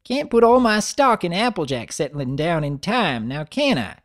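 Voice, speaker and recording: A man's voice: a little high, very animated, moderate pace, a bit bright, pitched above the performer's own voice. A man voicing a bossy, loving, folksy old granny pony in a story reading. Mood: teasing, insistent. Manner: folksy, scolding.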